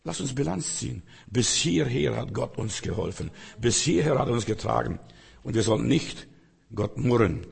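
A hoarse man preaching an earnest sermon full of rhetorical questions. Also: mid-range voice, lively intonation, normal speed. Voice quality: raspy, gravelly. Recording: long hall reverb.

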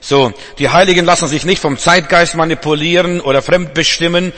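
A man's voice: on the high side, fairly even intonation, a little fast, a bit bright. A male preacher giving a sermon to a congregation, speaking emphatically with repetitions, direct address and frequent restarts. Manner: stumbles and restarts. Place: church congregation.

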